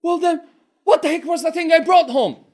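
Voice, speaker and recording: A man playing a sheepish, hard-drinking fishmonger in a one-performer comic tale, talking in an excitable, pleading way. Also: very high-pitched, moderate pace. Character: sheepish drunken fishmonger husband.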